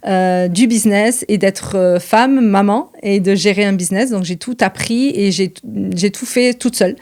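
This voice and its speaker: A middle-aged woman talks in a relaxed, conversational podcast style. Her voice sits at an average pitch for a woman. She builds sentences in short pieces, hesitating often between them. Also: normal speed. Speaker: podcast co-presenter, business manager. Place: indoors at her home.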